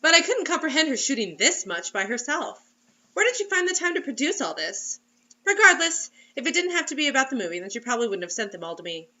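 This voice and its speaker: A woman's voice: high-pitched, very animated, fast, sharp tone, highs cut off. A woman reading a story aloud as host in a playful, theatrical, mocking way, breaking into casual comic asides. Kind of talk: comedic dramatic reading with casual commentary.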